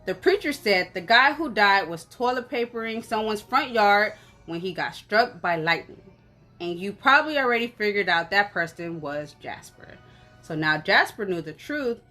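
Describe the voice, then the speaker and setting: A woman reading a story aloud in an animated, conversational way, as if for children. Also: mid-range voice, moderate pace, soft background music. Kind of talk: expressive children's story narration.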